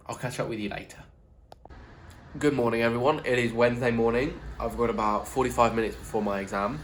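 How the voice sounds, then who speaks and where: A man's voice: mid-range voice, fairly bright tone, a little hiss or hum. A young man, giving frank, easygoing video diary updates to a handheld camera. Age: young adult. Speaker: student vlogger.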